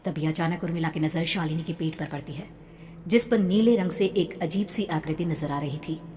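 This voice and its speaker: A woman's voice: sits low, moderate pace, darkish tone. A woman telling a horror story as dramatic scripted narration. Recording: long reverb, background noise.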